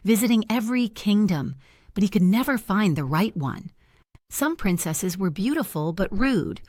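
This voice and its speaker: A woman reads a children's fairy tale aloud in a calm, even bedtime manner. Her voice sits a little low and is recorded very dry and close, with no room sound. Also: no room sound. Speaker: storyteller. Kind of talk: scripted bedtime story narration.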